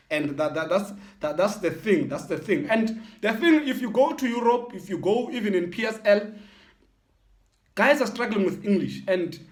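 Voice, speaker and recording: A South African man from the Eastern Cape, a football channel host, speaking in a casual reflective monologue with halting restarts and repeated words. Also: high-pitched. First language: Xhosa and Sotho mix. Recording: echoey room.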